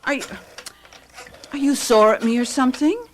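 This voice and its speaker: An actress playing an anxious suburban housewife in a radio drama, earnest and pleading. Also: female voice, high voice, moderate pace, slight background noise, natural voice. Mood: pleading, upset.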